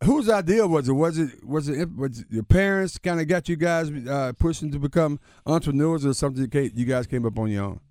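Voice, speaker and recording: A man's voice: fairly high pitch, a little fast, hardly any pauses, a little mellow, no room sound, studio quality. A man hosting a casual radio talk show, reacting encouragingly and asking guests questions.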